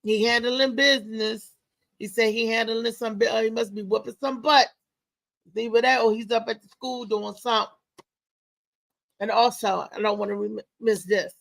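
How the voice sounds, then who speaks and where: A woman hosting a casual live show as a comedian, talking in a loud, lively, chatty way with lots of exclamations. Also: on the high side, moderate pace, gravelly. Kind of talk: casual live-stream chat and promotion.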